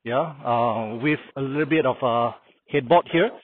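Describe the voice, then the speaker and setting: A middle-aged man shows off a car fitted for camping, talking plainly and pausing on uh fillers. His voice is a little husky and sits at an ordinary pitch. The sound is thin and dry, as if caught on a phone. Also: no echo, phone-quality sound. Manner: plain, instructive, with frequent uh fillers. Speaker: vlogger demonstrating a car camping setup. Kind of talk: casual how-to walkthrough. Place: outdoor carport beside a house.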